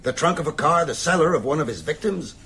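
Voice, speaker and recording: Commanding bedridden vampire hunter, swooping intonation. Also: male voice, fairly high pitch, expressive, fast, sharp tone, slight background noise. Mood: rousing, insistent. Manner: emphatic, rhetorical, oratorical delivery. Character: bedridden male vampire hunter, shrewd, commanding, urgent strategist. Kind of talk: radio drama acting.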